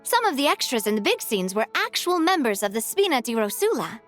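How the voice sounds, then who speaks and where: A woman's voice: fairly high pitch, sing-song, brisk, fairly bright tone, faint music behind. A woman voicing a bubbly, eager young woman in a game who giggles often. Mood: cheerful. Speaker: game character.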